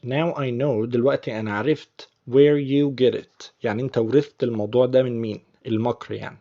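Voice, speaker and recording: A man's voice: mid-range voice, fairly even intonation, dull audio. Patient Egyptian man teaching English. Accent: Egyptian Arabic. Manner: patient, repeats phrases slowly before explaining. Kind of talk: explaining film lines in a language lesson.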